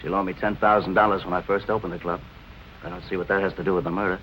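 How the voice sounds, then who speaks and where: An actor playing a defiant nightclub owner in 1940s film noir style, brusque and deadpan. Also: male voice, deep voice, racing, lo-fi sound, slight background noise, lightly acted. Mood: cool, evasive.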